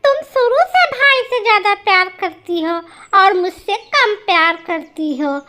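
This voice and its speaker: A woman's voice: extremely high pitch, measured pace, pushed and bright, pitched above the performer's own voice, full character voice. A woman voicing a lazy, haughty crow wife in a cartoon, bossy, coquettish and whining.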